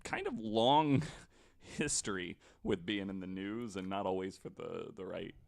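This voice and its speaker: A man presenting a researched story on a casual podcast, speaking in a halting way as he explains from his notes. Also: deep voice, expressive, creaky.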